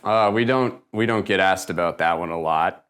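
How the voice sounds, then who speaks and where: A man being interviewed as an animation writer, answering in a deadpan, evasive and muddled way in a comic interview. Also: low voice, moderate pace, clear, resonant tone. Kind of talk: deadpan improvised comedy interview.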